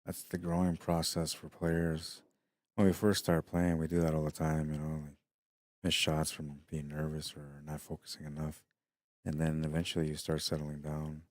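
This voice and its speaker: A middle-aged man commentating a pool match in a hushed, unhurried voice with a very deep bass, tired late in the day. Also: completely flat delivery, no echo. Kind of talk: quiet live sports commentary. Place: pool hall during a tournament.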